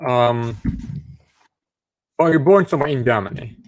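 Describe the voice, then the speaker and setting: A man from South Africa hosting a livestream, asking a stranger questions in halting, restart-heavy casual talk over a video call. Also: medium pitch, expressive, a little mellow, no echo. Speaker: livestream host chatting with strangers.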